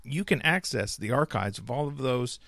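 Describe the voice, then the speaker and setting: A man from the United States hosting a casual podcast interview, upbeat and chatty, quick to laugh. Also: mid-range voice, animated, vocal fry, fairly bright tone, no room sound, studio quality. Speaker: podcast host.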